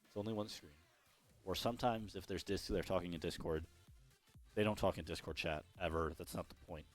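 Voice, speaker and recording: Young male esports caster, low hoarse voice, loose rambling play-by-play. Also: fairly quick, faint music behind. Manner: rambling, self-correcting, chatty. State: losing his voice. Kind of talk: solo play-by-play commentary.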